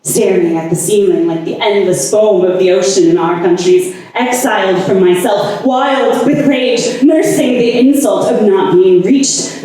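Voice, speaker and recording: In a heavily reverberant room, some way from the mic, an actress plays a lovesick woman with a slightly high voice. She is anguished and insistent, dramatic and halting, repeating herself like an incantation in a poetic stage monologue. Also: darkish tone, lightly acted. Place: staged reading in a reverberant indoor space. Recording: very echoey room, far from mic.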